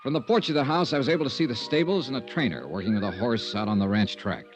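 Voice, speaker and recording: An actor playing a wry, unflappable insurance investigator in old-time radio detective style, dry and laconic. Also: male voice, medium pitch, lively intonation, fast talker, over music, natural voice. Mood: brisk, matter-of-fact. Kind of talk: old-time radio detective acting, first-person narration. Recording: muffled vintage radio recording.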